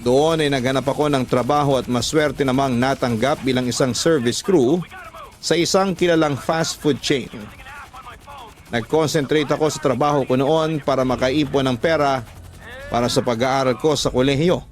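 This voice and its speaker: A man with a Filipino accent narrating a scripted story as a radio drama host, in an emotive, deliberate storytelling delivery. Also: mid-range voice. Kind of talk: scripted dramatic narration of letter-sender stories. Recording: voice over background music. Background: music.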